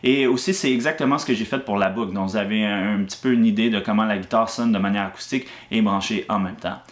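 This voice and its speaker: A man giving a casual product review of a guitar, relaxed and hesitant with frequent fillers. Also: fairly low pitch, fast. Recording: echoey room.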